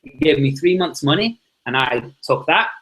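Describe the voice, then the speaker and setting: A man's voice: on the high side, animated, normal speed, fairly bright tone, poor audio. A man, chatting casually and enthusiastically as a podcast guest, rambling and calling everyone "man" and "dude". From Liverpool, England. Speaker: podcast guest, success coach. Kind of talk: casual conversation.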